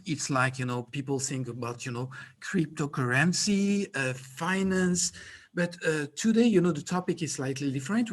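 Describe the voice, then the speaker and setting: A man moderating a conference panel in a relaxed way, leaning on "you know" fillers. His voice sits slightly higher than average.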